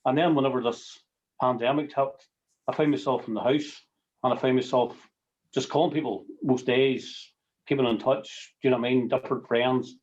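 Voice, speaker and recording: Northern Irish man, mid-range voice, halting informal sharing, repeats himself, slightly dull video-call sound. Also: medium pitch, fairly even intonation, darkish tone. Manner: halting, repeating words, tagging phrases with everyday sayings. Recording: muffled video call audio.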